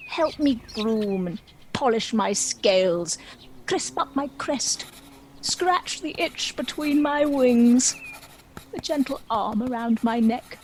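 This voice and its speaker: A woman's voice: fairly high pitch, a little hiss or hum, full character voice, low-quality recording. A woman playing a proud, lonely, world-weary dragon in a comic play, with a theatrical, wry and indignant manner. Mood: wistful, confiding. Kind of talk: dramatic monologue in a comic play.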